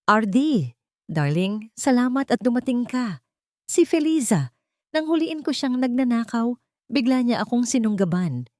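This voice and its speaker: A woman's voice: on the high side, sing-song, unhurried, a little mellow, no room sound, studio quality, voice pushed up. A woman voicing a scheming glamorous woman who feigns tearful, frightened innocence in an audio drama story. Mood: fake tearful fear. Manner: pleading, theatrically fearful.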